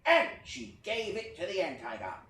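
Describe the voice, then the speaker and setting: A man playing a theatrical fable-teller with a high voice and wry conversational asides. He is picked up from a distance in an echoey room, as in a staged play reading, with faint noise. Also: huge pitch swings, moderate pace, vocal fry, pushed and bright, heavily acted. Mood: storytelling. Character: lively puppet-show storyteller spinning a mock-epic creation fable.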